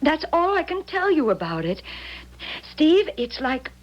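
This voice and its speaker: An actress playing an eerily possessed, frightened wife who turns commanding, in urgent 1970s radio drama style. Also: female voice, high voice, sing-song, dull audio. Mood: distressed, eerie. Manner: dramatic, urgent, halting then imperious.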